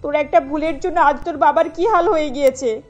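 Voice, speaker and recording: A woman's voice: high voice, a little fast, a little mellow, faint music behind, close to an everyday voice. A woman playing a sorrowful, scolding middle-class mother in a cartoon, speaking in a plain, reproachful way. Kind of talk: cartoon voice acting.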